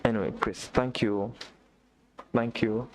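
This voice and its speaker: A man from Uganda, not a native English speaker, chatting excitedly on a live stream, repeating himself and talking straight to a viewer. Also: mid-range voice, takes it slowly, slight background noise. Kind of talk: casual live-stream chat. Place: indoor room.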